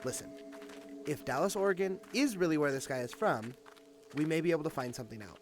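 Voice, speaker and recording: A man's voice: on the high side, animated, fast, music in the background, lightly acted. A man playing a cynical, impatient police detective in an audio drama, with a sarcastic, emphatic way of talking. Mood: wry, grumbling. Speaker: voice actor.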